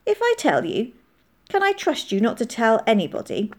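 A woman's voice: fairly high pitch, huge pitch swings, normal speed, exaggerated voice. Lady reading as a guarded young soldier in a storybook, polite n earnest, careful formal wording. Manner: coaxing, formal careful phrasing. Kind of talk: audiobook character voice.

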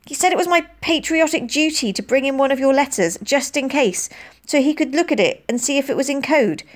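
A woman's voice: sits high, expressive, pitched above the performer's own voice. Woman voicing a tearful pleading schoolgirl. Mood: pleading, remorseful. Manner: tearful, rushed, apologetic. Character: remorseful schoolgirl pleading for forgiveness.

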